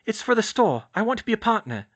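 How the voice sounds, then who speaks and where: A man's voice: sits high, very animated, quick pace, a bit bright, pitched above the performer's own voice, exaggerated voice. A man voicing a fierce, determined and protective teenage witch girl in a story, speaking in an urgent, emphatic way. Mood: earnest, urgent. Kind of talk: audiobook character voice.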